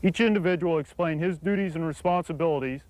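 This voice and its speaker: A man, an army captain, delivers a formal, scripted briefing about his unit. His delivery is crisp, measured and official. His voice sits a little high, and the recording is dry and close. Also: a little mellow, no room sound, low-quality recording.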